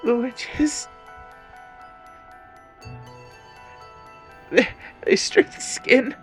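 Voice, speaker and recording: A man's voice: very high-pitched, slow pace, a bit bright, faint music behind, pitched above the performer's own voice, full character voice. A man voicing a terrified young man delirious with fever, crying out in a fantasy story. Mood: frightened, faltering. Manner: screaming and stammering in terror.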